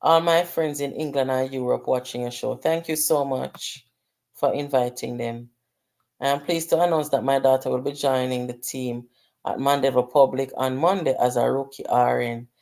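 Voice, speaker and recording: A middle-aged woman with a Jamaican accent hosts a casual livestream and talks straight to her viewers. Her voice sits very low for a woman, and she moves easily between patois and English as she reads out comments. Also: fairly even intonation, moderate pace, a little mellow. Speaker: livestream host.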